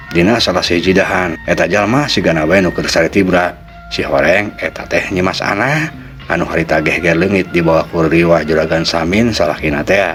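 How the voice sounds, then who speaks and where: A male storyteller with a Sundanese accent tells a folk tale in dramatic narration. His voice is deep and low. Faint music plays behind him. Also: fairly bright tone.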